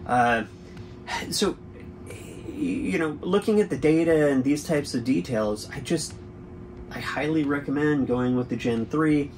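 A man's voice: a little high, unhurried, slight background noise, low-quality recording. A man in his forties hosting a boating channel, chatting through a product review from a boat cabin with an easygoing, explanatory manner and frequent fillers.

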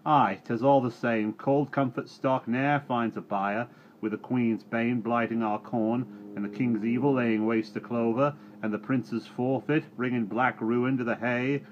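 A man voicing an angry, grasping farmer who shouts and scolds. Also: mid-range voice, pitched above the performer's own voice, lightly acted. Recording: dull recording, faint hiss.

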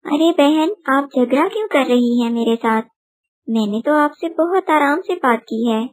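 Woman voicing a high-pitched, gentle mother bird. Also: lively intonation, measured pace. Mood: hurt, protesting. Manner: polite, pleading.

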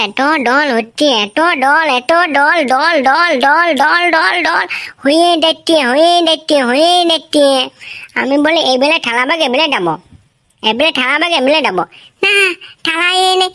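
A woman's voice: high voice, fairly bright tone. A woman voicing a whiny, chatty village schoolboy in a comic cartoon, with a rural western Bengali accent and childlike lisped, rambling, repetitive speech. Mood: complaining.

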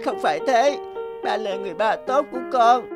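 An earnest, anxious small boy in a cartoon. The voice is fairly high and pleading, and music plays underneath. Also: lively intonation, normal speed. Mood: concerned. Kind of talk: cartoon voice acting. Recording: music bed behind the voice.

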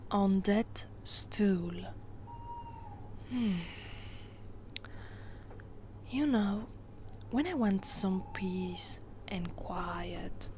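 A woman with an Italian accent playing a haughty, possessive immortal vampire who rules a castle, in a soft, imperious, often whispered ASMR roleplay. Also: mid-range voice, a little hiss or hum. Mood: disdainful, calm. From Italy. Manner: imperious, slow and deliberate.

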